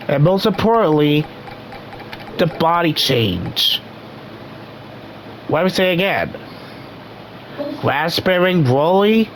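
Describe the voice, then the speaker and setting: A young man hosting a casual gaming vlog, rambling with many restarts while his voice recovers from being hoarse. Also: fairly high pitch, a little hiss or hum. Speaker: gaming channel host.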